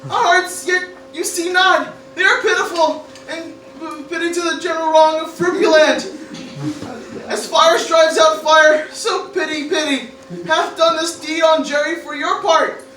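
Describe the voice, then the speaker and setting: A woman playing an anxious cartoon teenage boy in an amateur parody radio play, speaking theatrically with halting stammers. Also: very high voice, fairly even intonation, a bit bright, soft background music. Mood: earnest, halting. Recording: echoey room, distant mic, faint noise.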